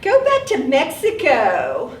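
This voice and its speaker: An older woman tells her story onstage with wry humor, her voice high and her pace slow and deliberate. She sounds roomy and set back from the mic, with faint theater ambience around her. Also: distant mic, a little hiss or hum. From South Texas, USA. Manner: wry, animated storytelling with acted asides. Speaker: solo performer. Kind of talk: storytelling stage monologue. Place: theater stage.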